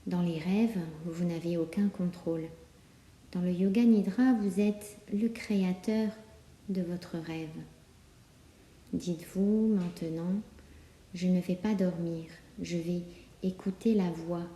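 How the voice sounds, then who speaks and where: Woman, meditation guide, very soft dark tone, unhurried, calm, pause-filled, roomy echo. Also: lowish voice. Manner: calm, soothing instruction with many pauses. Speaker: yoga nidra guide. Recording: roomy, echoing sound.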